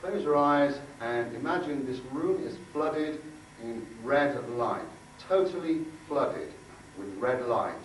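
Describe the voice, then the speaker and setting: Bloke giving a workshop talk, halting and chatty, repeats himself, roomy echo, bit of background noise. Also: male voice, mid-range voice, expressive. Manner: halting, conversational, repeats phrases for emphasis. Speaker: workshop speaker and aura healer. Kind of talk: informal talk with demonstration and guided visualisation. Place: indoor room before a group. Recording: echoey room, distant mic, faint noise.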